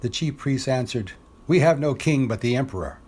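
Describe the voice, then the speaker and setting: A man reading scripture dramatically, with expressive emphasis on quoted speech. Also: medium pitch, moderate pace, slightly rough. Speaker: scripture reader.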